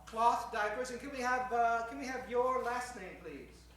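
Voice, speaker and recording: Man, very high voice, distant echoing hall, theatrical stage emcee, mock-formal and courteous. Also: moderate pace, a bit bright. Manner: courteous, mock-formal old-time radio showman. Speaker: stage announcer warming up an improv show. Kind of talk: theatrical live emceeing to an audience. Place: stage in front of a live audience. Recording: echoey hall, distant microphone.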